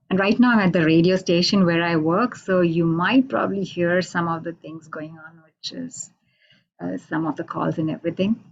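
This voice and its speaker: A woman with an Indian accent reads her poems in a slow, unhurried way, pausing on fillers between phrases. Her voice sits fairly low. She is heard over a muffled, lo-fi video call from a radio station office. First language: Hindi. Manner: unhurried, halting, with many fillers. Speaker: poet. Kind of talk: poetry reading.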